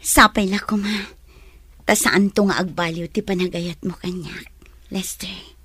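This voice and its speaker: An actress playing a soft-hearted, cautious young single mother in a radio drama. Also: mid-range voice, steady tone, unhurried, slightly rough, no room sound. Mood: tender, hesitant.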